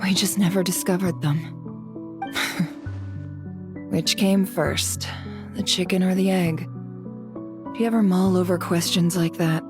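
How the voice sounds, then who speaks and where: A woman playing a shrewd, composed female intelligence broker in a video game, with a measured, businesslike manner. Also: on the low side, fairly even intonation, music in the background. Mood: musing, assured. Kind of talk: video game cutscene dialogue.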